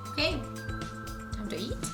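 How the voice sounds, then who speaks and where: A woman from Malaysia, cooking and explaining a dish in short, matter-of-fact answers. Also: on the high side. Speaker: guest cook. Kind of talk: casual cooking demonstration. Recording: loud music and frying sounds. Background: loud music and sizzling pan.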